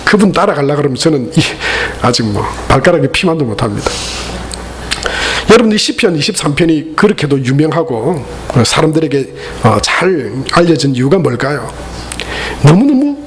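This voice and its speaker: A man's voice: a little high, sing-song, normal speed, slight background noise. A man from the region of Korea, speaking with its as a pastor, preaching a Sunday sermon with joking asides to the congregation. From Gyeongsang region, Korea. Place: church sanctuary.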